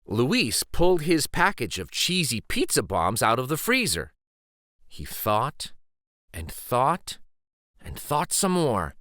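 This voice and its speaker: A man reading a children's picture book aloud in an expressive, deliberate, emphatic storytime style. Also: medium pitch, dry recording, clean studio sound. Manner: deliberate, animated, emphatic phrasing.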